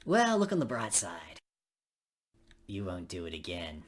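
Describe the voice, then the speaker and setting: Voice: deep voice, huge pitch swings, a little slow, pitched below the performer's own voice, heavily acted. A woman voicing a chatty, blokey young friend in a visual novel, teasing and full of Australian slang.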